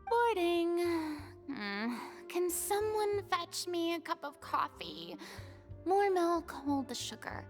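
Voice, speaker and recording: High-voiced, weary diva. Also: female voice, expressive, takes it slowly, faint music behind, exaggerated voice. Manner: theatrical, grumbling, self-important. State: tired, groggy. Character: dramatic, proud young female stage star. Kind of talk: video game voice acting.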